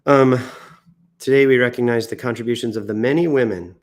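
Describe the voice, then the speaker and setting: An American man with a mid-pitched voice reads news posts aloud on a livestream. He leans into exaggerated, theatrical emphasis and drops into self-mocking, off-the-cuff asides. He sounds somewhat sick and tired. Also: fairly quick. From United States. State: sick and tiring, coughing now and then. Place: indoors.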